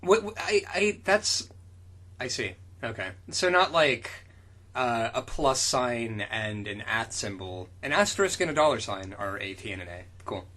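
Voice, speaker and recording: A man with a bright, forceful, raspy voice co-hosts a story reading. His pitch moves around a lot as he reads and riffs sarcastically on the writing. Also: very animated, unhurried. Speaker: podcast co-host reading fan fiction aloud. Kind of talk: amused reading with sarcastic riffing.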